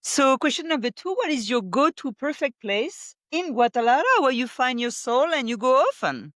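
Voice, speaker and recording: A woman's voice: sits high, very animated, normal speed, no echo, studio quality. A woman, hosting a podcast and asking questions in a conversational way. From Europe. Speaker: podcast host. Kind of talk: conversational interviewing.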